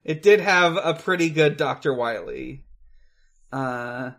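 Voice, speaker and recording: A podcast host talks through a film in a casual, rambling way. The pace is slow and halting, with thoughts restarted and hedged as they go. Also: mid-range voice, gravelly, fairly bright tone. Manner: halting, hedging, rambling delivery. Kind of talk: casual film review chat.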